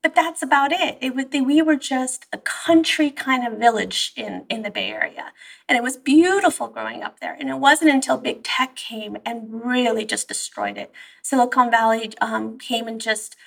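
A woman, born in Iran and raised in the US, telling stories in an animated, conversational way as an interview guest. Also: a little high, moderate pace, creaky, distant mic. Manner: animated storytelling, quoting herself and her mother. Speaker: therapist guest.

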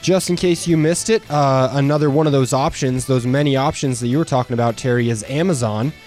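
Measured male radio co-host explaining news. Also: mid-range voice, darkish tone, soft background music. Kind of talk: reading news items, then casual banter. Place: radio studio.

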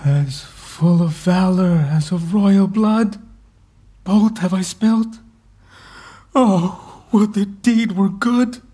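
A man's voice: high-pitched, a little mellow. An actor playing a remorseful knight who has killed a king, solemn and declamatory in a Shakespeare radio play.

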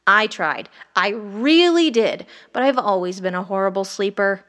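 A woman's voice: medium pitch, animated, a little slow, a bit bright. A woman playing a wry, haunted, sleepless ER doctor who narrates a horror audio drama in the first person. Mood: weary, wry. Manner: wry, brooding, literary. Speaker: narrator and lead character.

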